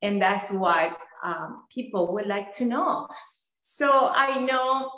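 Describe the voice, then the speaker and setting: A woman's voice: medium pitch, animated, takes it slowly, a bit bright, echoey room, poor audio. A woman hosting an interview in a chatty, enthusiastic way, with many fillers. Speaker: interview host. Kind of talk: casual interview chat.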